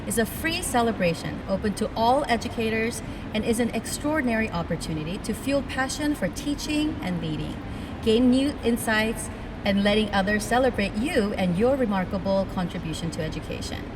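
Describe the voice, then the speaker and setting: A woman's voice: mid-range voice, expressive, fairly quick, noisy background. A woman hosting an education podcast, reading an upbeat introduction and chatting with guests in a theater venue. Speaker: podcast host. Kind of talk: scripted podcast intro then casual interview. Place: theater venue with crew setting up.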